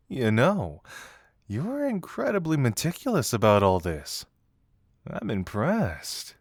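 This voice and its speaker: Casting: male actor as teasing young detective confidant for audio roleplay, animated intonation, amused conversational delivery, dry close sound. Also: medium pitch, slow, long pauses, slightly rough, no echo, full character voice. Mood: teasing, impressed. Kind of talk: intimate scripted audio roleplay addressed to the listener.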